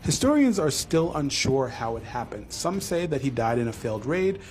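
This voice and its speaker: A man with a mid-range voice narrating a documentary script. He tells the story at a measured pace. Music plays softly behind him. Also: medium pitch, big pitch swings.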